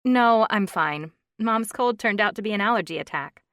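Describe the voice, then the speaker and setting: A woman's voice: mid-range voice, lively intonation, normal speed, a bit bright, no echo, lightly acted. A woman voicing a frustrated woman in her thirties, wry and exasperated, in a dry, close reading. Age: 30s.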